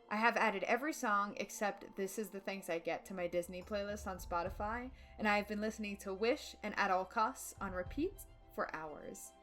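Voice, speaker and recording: A woman delivering an opinionated film video essay, emphatic and dramatic, with casual slang slipping in. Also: medium pitch. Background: background music.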